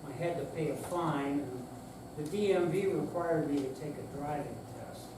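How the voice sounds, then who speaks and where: A man's voice: fairly high pitch, normal speed, natural voice. Distant, echoey man playing a sheepish old father. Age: older. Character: sheepish, stubborn elderly father, fond of family. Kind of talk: staged readers theater reading. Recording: echoey noisy hall, distant mic.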